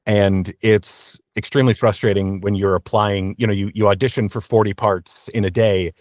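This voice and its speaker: A man speaking as an interview guest about his work as a voice actor, in a reflective, halting, self-deprecating way from a small booth. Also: lowish voice, steady tone, darkish tone, no room sound, studio quality. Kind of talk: candid interview talk. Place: small blanket-fort recording booth.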